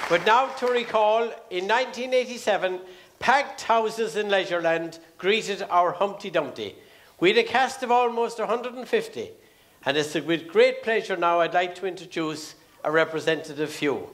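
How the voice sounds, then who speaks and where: A man's voice: high voice, fairly bright tone, a faint crowd. A man with an Irish accent making formal, scripted announcements as master of ceremonies on a theatre stage, drawing out names. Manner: formal, measured, drawn-out name announcements. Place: theatre stage before an audience.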